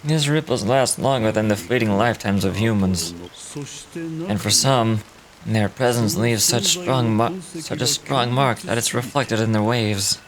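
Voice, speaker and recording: A man acts out a solemn old guide of lost souls from a fantasy game. The voice sits low and speaks with grave, reflective weariness. A clear crowd-like murmur runs behind it. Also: a little slow, natural voice, low-quality recording. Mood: solemn storytelling. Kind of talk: dramatic read-aloud of game dialogue.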